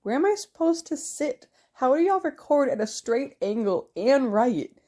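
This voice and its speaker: A young woman narrating a journaling video in a chatty, upbeat voice-over, slightly hoarse from a cold. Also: high-pitched, sing-song, moderate pace, dark tone. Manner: chatty, upbeat, talks straight to viewers. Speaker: bullet journal vlogger.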